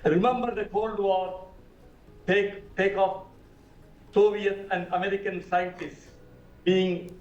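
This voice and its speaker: Indignant older man, high voice, echoey line. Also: little pitch movement, slow pace, pauses often. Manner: indignant, emphatic rhetorical questions. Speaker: panel guest, former election official. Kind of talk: studio panel debate. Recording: dull, echoey remote audio.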